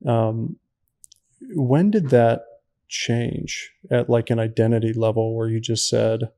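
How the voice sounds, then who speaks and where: A male podcast host asks questions in a conversational way. His voice is dark and creaky, with very little melody to it, recorded close and dry. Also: mid-range voice, fairly even intonation, slow, no room sound. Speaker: podcast host interviewing a guest.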